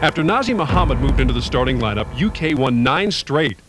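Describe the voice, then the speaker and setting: A man delivering a steady, scripted news voice-over of a basketball season's highlights. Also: fairly quick. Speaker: news sports reporter. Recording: voice-over under loud music.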